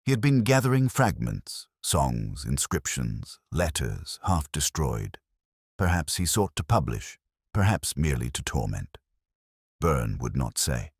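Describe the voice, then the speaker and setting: Very deep-voiced man narrating a detective story. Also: big pitch swings, gravelly, breathy edge, no room sound, studio quality. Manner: measured, literary, brooding. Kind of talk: scripted first-person detective story narration.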